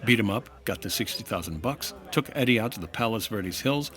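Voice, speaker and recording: A man's voice: on the low side, lively intonation, fairly quick, fairly bright tone. A man playing a dry, businesslike insurance investigator in old-time radio detective style, clipped, wry and matter-of-fact. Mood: probing, firm. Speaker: actor in a comedy audio drama.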